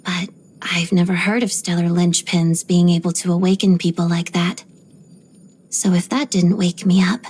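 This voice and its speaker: An actress voicing a reserved, stoic young ballerina in a video game, speaking softly and breathily in a measured, restrained way. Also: female voice, medium pitch, slightly rough, close to an everyday voice. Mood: calm, reflective. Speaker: video game character voice actor. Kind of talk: scripted game voice lines, introspective monologue.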